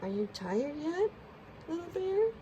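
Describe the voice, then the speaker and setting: A woman voicing a gentle, tired, protective mother bear in a children's storybook. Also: very high-pitched, sing-song, a little slow, lo-fi sound, a little hiss or hum, voice pushed up, exaggerated voice. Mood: caring.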